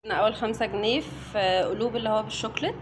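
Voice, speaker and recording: A woman's voice: mid-range voice, steady tone, rapid-fire, slight background noise. A woman with an Egyptian accent, a mother chatting casually to her viewers while unboxing. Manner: chatty, addresses viewers directly. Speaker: mother and family vlogger.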